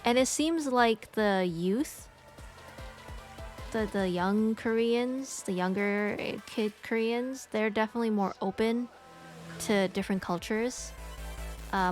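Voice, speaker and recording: Woman streamer, casual chat, hesitant and halting, mid pitch. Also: soft background music.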